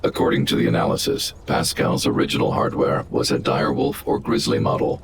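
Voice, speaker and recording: A man playing a calm, protective guardian robot in a video game, speaking in a formal, measured, analytical way. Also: a bass voice, heavy background noise. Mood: calm, clinical. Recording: music behind the voice.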